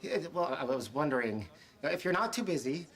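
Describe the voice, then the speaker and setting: A man's voice: on the high side, very animated, quick pace. An actor playing a nervous, shy young man who stammers and hesitates while trying to speak to a woman, in a sitcom clip. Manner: hesitant, stammering, filler-heavy.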